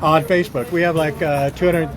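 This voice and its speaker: A man hosting a casual walking live stream outdoors, chatting with strangers in a teasing, quick-questioning way. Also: on the high side, fairly even intonation, over music. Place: outdoors in a busy city park.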